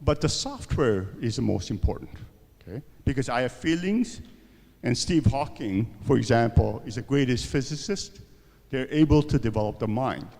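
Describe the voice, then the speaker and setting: A man's voice: mid-range voice, animated, normal speed, creaky, darkish tone, in a large hall. A man giving a university seminar lecture, asking rhetorical questions and often checking in with "okay?" and "right?". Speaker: seminar speaker.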